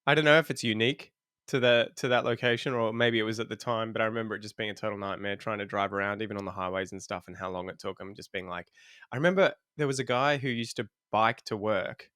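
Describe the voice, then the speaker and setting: A man's voice: fairly low pitch, fast, clean tone, dry recording, studio quality. A man in his thirties from Australia, an English teacher chatting casually as a podcast guest, laughing often while he tells stories. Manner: laughs often, relaxed storytelling. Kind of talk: casual chat.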